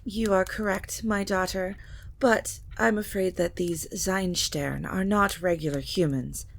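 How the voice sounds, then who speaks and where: A woman playing a stately ancient vampire matriarch in an audio drama, speaking in a formal, measured, solemn way. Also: mid-range voice, steady tone, creaky, a little hiss or hum. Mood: ominous. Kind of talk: scripted audio drama acting.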